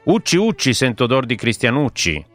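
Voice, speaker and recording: A man's voice: big pitch swings, normal speed, fairly bright tone, faint music behind. A man voicing a menacing man-eating ogre from a fairy tale, thunderous and commanding as he chants threats. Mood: menacing, hungry. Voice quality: thunderous, booming.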